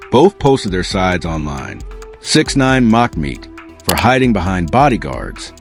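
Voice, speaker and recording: A man narrates a scripted gossip video in a fairly low voice. His delivery swings up and down in pitch, dramatic and punchy, built to sell the story. Faint music runs underneath him. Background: background music.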